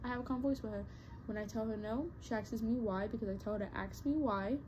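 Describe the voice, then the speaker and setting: Young woman rambling to her subscribers, kinda high voice, faint hum in the back. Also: fast. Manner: chatty, rambling with many fillers and restarts. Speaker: vlogger. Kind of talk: casual talk to camera.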